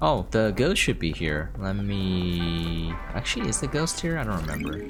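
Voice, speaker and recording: A man's voice: deep voice, very animated, moderate pace. A man giving casual gameplay commentary, thinking aloud and trailing off, with game music behind him. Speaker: game streamer. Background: video game music.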